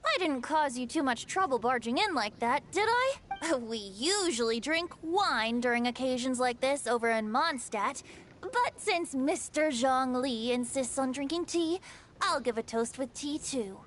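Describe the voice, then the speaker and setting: A woman's voice: high voice, animated, unhurried, a bit bright, a little hiss or hum. A woman voicing a playful, youthful, self-effacing wanderer in an anime game, teasing and polite. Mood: gracious, bashful.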